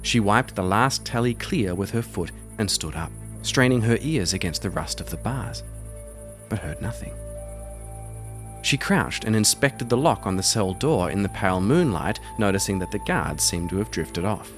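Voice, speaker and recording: A man with a lowish voice narrates a solo role-playing story. He switches between written prose and casual commentary on the game, with soft music behind him. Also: animated, normal speed. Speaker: host and solo role-player of an audio drama.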